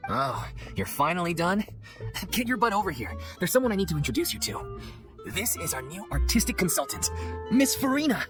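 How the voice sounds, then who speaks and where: A man's voice: high voice, wildly swooping pitch, a little fast, music in the background. An actor playing a plain-spoken young man of a theatre troupe, a former orphan, in a video game. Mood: friendly, bossy.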